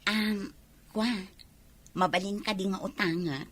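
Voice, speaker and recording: A woman's voice: medium pitch, unhurried, a bit bright, no echo. A woman with an Ilocano accent playing a vain, brazen young woman who shrugs off her debts in a radio drama. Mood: coveting, wheedling. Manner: defiant, flippant.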